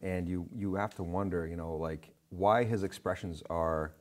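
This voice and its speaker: A man's voice: low voice, fairly even intonation, normal speed. A middle-aged man giving rambling, casual film commentary as a studio guest, on a lapel mic. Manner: rambling, full of "you know". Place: indoor studio set with curtains.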